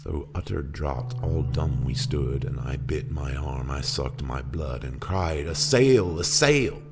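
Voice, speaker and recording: A man's voice: extremely low pitch, steady tone, a little slow. A man giving a theatrical, brooding and emphatic dramatic recitation of poetry. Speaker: poetry reader and performer. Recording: music under the voice. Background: guitar music.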